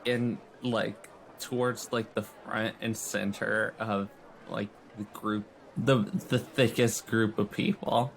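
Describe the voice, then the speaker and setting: A man's voice: lowish voice, steady tone, takes it slowly, gravelly, a little hiss or hum, poor audio. A man playing a tabletop role-playing game, improvising in character with casual, slangy, sweary speech.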